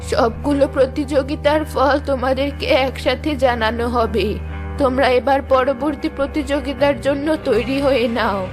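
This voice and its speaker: Cartoon mother-in-law, high voice, bossy, firm, commanding, fairy-tale storytelling, faint music behind. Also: fairly even intonation, unhurried. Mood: instructing. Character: commanding mother-in-law running a household contest.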